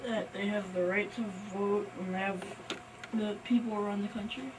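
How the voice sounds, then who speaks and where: A woman's voice: medium pitch, steady tone, moderate pace. Woman answering from afar in noise. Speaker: audience member answering questions. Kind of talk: informal discussion answers. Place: live event in a library room. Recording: distant noisy room recording.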